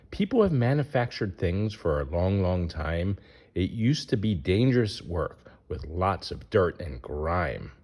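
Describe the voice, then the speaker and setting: Low-voiced man reading with lively swings. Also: slightly rough. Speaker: narrator reading a picture book aloud. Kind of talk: scripted read-aloud of a rhyming children's book.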